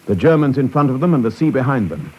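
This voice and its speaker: An actor playing a gentle, reclusive artist in a 1950s radio play, speaking haltingly and earnestly. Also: male voice, medium pitch, very animated. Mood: grave, urgent. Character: gentle, reclusive crippled artist setting off to war. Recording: dull vintage 1950s radio recording.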